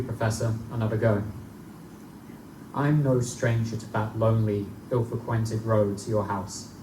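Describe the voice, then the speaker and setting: A man playing a determined, haunted young English student in a live gothic horror drama, speaking with dramatic, deliberate intensity. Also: fairly low pitch, far from the mic, lightly acted. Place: live performance in a bookstore. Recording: echoey, noisy live bookstore recording from afar.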